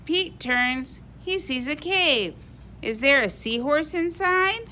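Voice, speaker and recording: A woman reading a children's picture book aloud in an expressive, animated way for young kids. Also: sits high, slow, slight background noise. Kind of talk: expressive read-aloud storybook narration.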